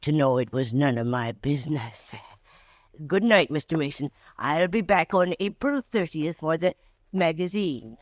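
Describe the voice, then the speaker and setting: A man's voice: a little high, measured pace, close to an everyday voice. An actor playing a timid, fussy little man who stammers politely, in 1940s radio acting. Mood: knowing. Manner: stammering, polite, over-eager. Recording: dry old radio broadcast.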